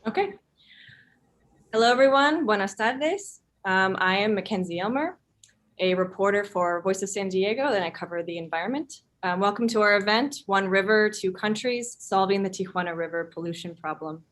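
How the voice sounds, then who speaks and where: A woman's voice: medium pitch, moderate pace, a bit bright. A woman reporter co-hosting an online town hall, speaking informally with some scripted introductions. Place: online video call.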